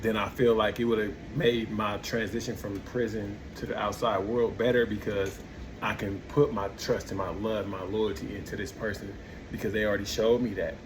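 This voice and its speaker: A man's voice: fairly low pitch, flat delivery, moderate pace, noisy background. An American man speaking in a casual, candid monologue, slangy, repeating himself as he searches for words. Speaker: vlogger sharing personal experience of prison.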